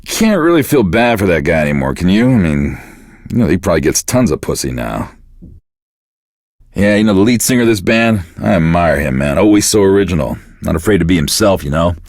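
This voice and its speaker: An actor playing a bitter, self-pitying middle-aged American radio DJ in a video game, with deadpan, profane, casual patter. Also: male voice, low-pitched, a little fast, no echo, studio quality, exaggerated voice. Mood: sardonic.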